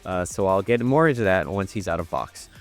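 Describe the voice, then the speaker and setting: A man's voice: low-pitched, expressive, a little fast, soft background music. A young man with a stuffy nose chatting casually through a toy unboxing, full of ums and uhs. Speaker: toy reviewer.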